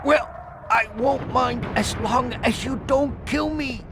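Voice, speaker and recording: An actor playing a timid, frightened guard who speaks haltingly in a video game. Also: male voice, very high voice, vocal fry, noisy background, full character voice. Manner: halting, hesitant.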